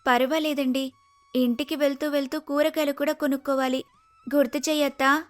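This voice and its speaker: A woman voicing a cheerful, respectful young woman in an animated moral story. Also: sits high, brisk, soft background music, natural voice. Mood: friendly, reassuring. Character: brave, cheerful, respectful young woman, a helpful house guest.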